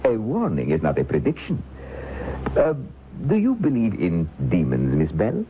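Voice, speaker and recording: Sinister demon expert, super deep and swooping, talks all formal and smug, muffled old radio show. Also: male voice, huge pitch swings, slight background noise. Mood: ominous, lecturing. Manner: formal, deliberate, condescending. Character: menacing, self-assured male occult author and demonologist. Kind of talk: old-time radio drama acting.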